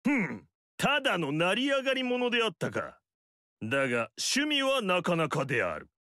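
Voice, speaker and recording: An actor playing a pompous, vain noble warlord in a video game, speaking haughtily. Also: male voice, high-pitched, huge pitch swings, normal speed, pushed and bright, dry recording, full character voice. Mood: boastful. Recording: dry studio recording.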